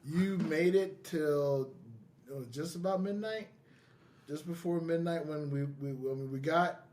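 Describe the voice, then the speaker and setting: A man's voice: on the high side, a little slow, a little mellow. A man hosting a podcast in casual chat, often repeating his phrases for emphasis. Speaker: podcast host.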